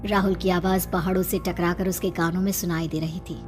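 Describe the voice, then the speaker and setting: A woman's voice: fairly low pitch, flat delivery, brisk, a little mellow, faint music behind. A woman giving scripted narration of a horror story as its narrator.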